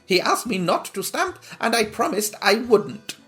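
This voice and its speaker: A man's voice: very high-pitched, big pitch swings, a little slow, pushed and bright, soft background music, voice pushed up, full character voice. A man voicing a boastful, self-important little male butterfly in a storybook reading.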